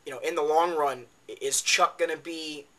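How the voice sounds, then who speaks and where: Raspy, tired young man breaking down fights. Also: fairly high pitch, steady tone, moderate pace, a bit bright, rough recording. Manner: talks off the cuff with many ums and uhs. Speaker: MMA video blogger. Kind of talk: casual spoken fight analysis.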